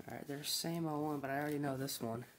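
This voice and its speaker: A man's voice: a little high, fast. A man casually narrating a hands-on setup, muttering to himself in short fragments, recorded indoors on a handheld device. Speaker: hobby video maker. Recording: very noisy handheld home recording with beeps. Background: loud noise, button clicks and electronic beeps.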